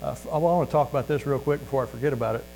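A man with a Missouri accent delivers a sermon in a folksy, earnest manner, stumbling through fillers and restarts. His pitch sits mid-range for a man. A faint electrical hum runs under the recording. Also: rapid-fire, a little mellow, rough recording. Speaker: preacher.

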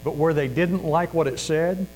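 A man's voice: on the high side, normal speed, a little mellow, poor audio. A man with a Missouri accent preaching a sermon in a folksy, earnest way, full of fillers and restarts. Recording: faint mains hum.

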